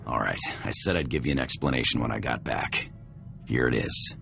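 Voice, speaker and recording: A brooding ex-soldier rogue, guarded and curt. His extremely low, gravelly game-character voice sits over faint music. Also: a little fast, exaggerated voice. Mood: urgent. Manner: clipped, curt. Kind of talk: video game voice acting.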